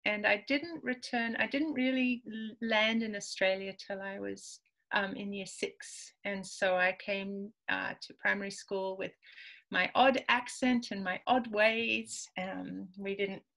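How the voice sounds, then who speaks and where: Woman in her 40s, spanish native from peru, bright sharp voice, lots of ums and little laughs, on a webcam at home. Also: mid-range voice, steady tone, a little slow, vocal fry. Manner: chatty, many ums, laughs easily. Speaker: author guest. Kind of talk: casual conversational interview. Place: home room with bookshelves. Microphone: video call webcam.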